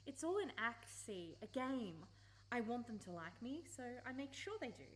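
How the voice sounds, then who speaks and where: An actress plays a conflicted young woman, her voice on the high side. She is halting, defensive and emotional, in a radio drama recorded with faint noise. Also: very animated, fairly bright tone. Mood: confessing. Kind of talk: radio play acting.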